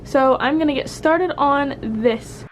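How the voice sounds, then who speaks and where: A woman's voice: sits high, unhurried, over music, rough recording. Chatty young woman with a head cold. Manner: chatty, friendly, upbeat, with regional phrasing like "needs organized". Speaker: vlogger. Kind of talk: casual chat to camera. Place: home kitchen.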